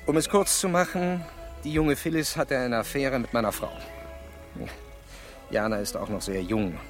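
An actor playing a self-assured, status-conscious industrial executive in a radio play, brisk and formal with a haughty edge. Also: male voice, medium pitch, faint music behind, lightly acted. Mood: self-assured, defensive.

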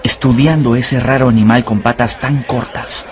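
A man's voice: mid-range voice, fairly even intonation, normal speed, crowd noise, close to an everyday voice. A man narrating a grim adventure story as a dramatic, scripted radio play. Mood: suspenseful.

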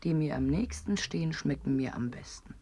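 Teasing, macabre woman in radio drama: low-pitched, very lively intonation, theatrical and sardonic, husky. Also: normal speed, exaggerated voice. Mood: macabre, pontificating. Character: sly, macabre, teasing woman who devours her friends. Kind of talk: absurdist German radio play acting.

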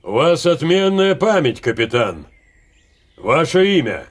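An actor playing an imperious old field marshal in a radio drama, giving orders and questions curtly. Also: male voice, fairly high pitch, very animated, unhurried, slightly rough, exaggerated voice. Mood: curt, commanding. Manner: curt, commanding questioning.

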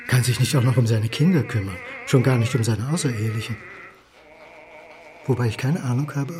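An actor playing a nervous, sardonic man of forty-nine, wounded and over-eager, in theatrical, halting one-sided talk in a radio drama. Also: mid-range voice, darkish tone. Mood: bitterly sardonic. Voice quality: husky, breathy. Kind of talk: audio drama acting.

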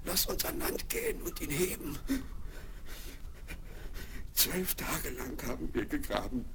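A stammering ragged castaway. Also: male voice, fairly high pitch, huge pitch swings, exaggerated voice. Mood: confiding. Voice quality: stammering, halting. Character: ragged marooned male castaway, jittery and stammering. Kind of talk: 1970s radio play acting. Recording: noisy vinyl record.

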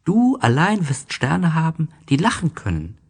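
A man playing a gentle, wistful young boy in a German radio play, speaking slowly, earnestly and tenderly. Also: on the high side, wildly swooping pitch, slightly rough, voice pushed up, heavily acted. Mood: consoling. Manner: slow, earnest, tender, simple phrasing.

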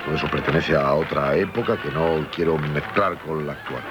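Loud music behind, self-loathing drunkard gentleman, deep voice, halting confessions, old radio play. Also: muffled recording, a crowd in the background. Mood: bitter, self-mocking. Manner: hesitant, stammering, broken by wry laughs. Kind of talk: 1970s radio drama acting.

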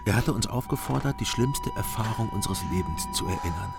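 An actor playing a brooding narrator who recalls his story in measured, grave radio drama style. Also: on the low side, lively intonation, gravelly, loud music behind the voice. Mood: grave, haunted.

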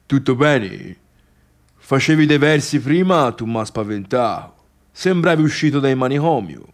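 An actor playing a bitter, sarcastic failed pianist turned music critic in a theatrical radio monologue, complaining colloquially in a Tuscan accent. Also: male voice, medium pitch, close to an everyday voice. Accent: Tuscan Italian.

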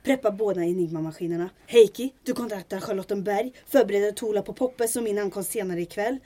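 A woman playing a resourceful, commanding resistance leader in an audio drama, brisk and wry with a husky voice. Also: medium pitch, dark tone, lightly acted.

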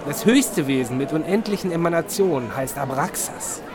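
A man acts a dogmatic ancient sectarian in a radio play. He proclaims emphatically in a fairly high, lively voice against crowd noise. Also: moderate pace, lightly acted. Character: insistent heretic sect preacher proclaiming esoteric doctrine. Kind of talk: German radio drama acting. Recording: noisy crowded scene.

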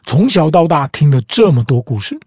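Host, male, even-toned scripted narration, music bed underneath. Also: a little high, fairly quick. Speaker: podcast host. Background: background music.

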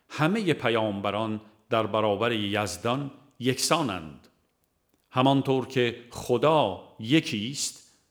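A man narrates a religious audiobook in a measured, formal reading manner. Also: medium pitch. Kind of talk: scripted narration of a religious book.

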